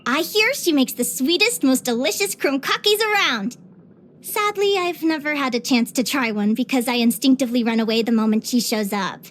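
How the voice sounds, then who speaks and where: High-voiced bubbly young adventurer girl. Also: moderate pace, a little hiss or hum. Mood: sheepish, fond. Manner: expressive, chirpy, with sighs and giggles. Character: bubbly young female adventurer and naturalist, curious and sweet. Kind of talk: scripted video game character voice lines.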